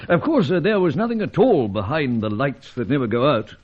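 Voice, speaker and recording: Husky genteel British spymaster. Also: male voice, mid-range voice, very animated, a little fast, a little mellow. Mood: concerned. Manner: plummy, hesitant hemming, old-chap gentility. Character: genteel British male spymaster, avuncular, stiff-upper-lip knight. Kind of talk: old-time radio adventure serial acting. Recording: dull vintage radio recording.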